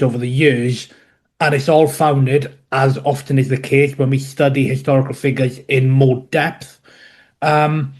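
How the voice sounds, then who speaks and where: Welsh man, dryly humorous historian. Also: on the high side. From West Wales. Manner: measured, explanatory, dryly humorous. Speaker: guest historian. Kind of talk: podcast interview.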